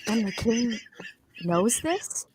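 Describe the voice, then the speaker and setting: Woman as a nervous underling, fairly high voice, lively intonation, questioning, comedic table read. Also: slow, slight background noise. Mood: anxious. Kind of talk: comedic live table read of a fan script.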